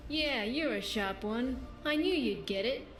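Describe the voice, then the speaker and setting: A wry, teasing adventuring woman voiced by an actress for a fantasy game companion. Her voice sits a bit high and her banter is playful and bawdy. It rings in a roomy space with faint music underneath. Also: lively intonation, takes it slowly. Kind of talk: video game companion dialogue.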